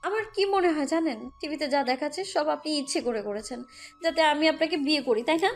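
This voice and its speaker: A woman's voice: high voice, brisk. A woman playing a hurt, defiant young mother who narrates her own romantic audio story, with an emotional, scolding manner. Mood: angry, accusing. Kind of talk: first-person Bengali audio story narration and dialogue. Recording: music bed behind the voice.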